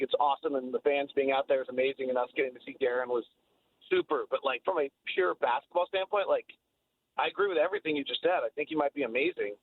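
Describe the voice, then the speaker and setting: A man's voice: medium pitch, narrow, tinny audio. A man giving emphatic, opinionated basketball analysis in a sports radio conversation, repeating phrases to drive points home. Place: radio talk show.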